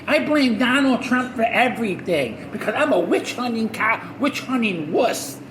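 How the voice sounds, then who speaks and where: A man hosting a podcast, reading news articles aloud with sarcastic asides and stumbling over words. He has a high-pitched voice. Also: lively intonation, normal speed. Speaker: podcast host. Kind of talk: ranting commentary while reading news articles. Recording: echoey room with background noise.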